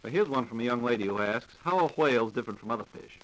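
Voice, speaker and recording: A man's voice: mid-range voice, lively intonation, fast talker, a bit bright, low-quality recording. An American man hosting a 1940s radio programme with formal, courteous, polished scripted announcing. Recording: vintage 1940s radio broadcast recording.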